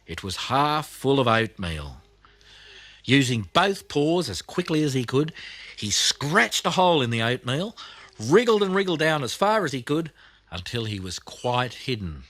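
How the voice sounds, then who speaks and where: A man's voice: mid-range voice, big pitch swings, measured pace, a bit bright, no room sound. Man narrating a children's storybook, dry sound. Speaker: audiobook narrator.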